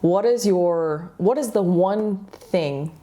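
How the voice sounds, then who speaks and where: A woman of twenty-nine hosting a casual interview, excitable and gushing, with lots of "like" in her sentences. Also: on the low side, slow pace. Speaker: interviewer, music producer and songwriter.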